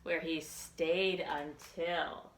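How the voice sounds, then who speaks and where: A woman's voice: sits low, fairly even intonation, drawn-out words. A woman librarian leading a children's storytime, reading and reciting rhymes expressively in simple phrases for small children. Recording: echoey room.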